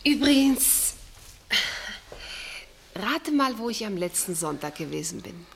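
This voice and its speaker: A shrewd, needling woman in a German radio drama. Her voice sits at an average pitch. She speaks archly and deliberately, probing for secrets. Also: sing-song, gravelly, a little hiss or hum. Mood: curious.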